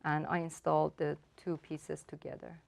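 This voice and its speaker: A woman whose first language is Persian, an artist calmly explaining her work and technique step by step, often pausing with ums and uhs. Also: low-pitched, creaky, no echo. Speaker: artist giving a demonstration.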